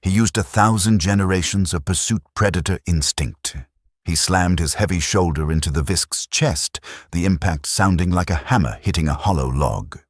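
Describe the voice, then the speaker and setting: A man narrating a science fiction audiobook in a steady, dramatic storytelling manner, close to a studio mic. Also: sits low, moderate pace, no echo. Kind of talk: scripted narration.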